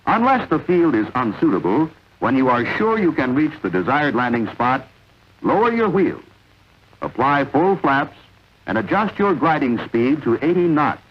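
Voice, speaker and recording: A man narrating a vintage military training film in a measured, authoritative, instructional way. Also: mid-range voice, husky. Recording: dull, lo-fi vintage film soundtrack.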